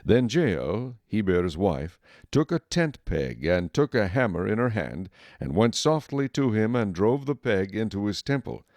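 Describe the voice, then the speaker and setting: A man's voice: on the low side, very animated, a little slow, darkish tone, no echo, studio quality. A man narrating a dramatized Bible story as scripted, solemn storytelling.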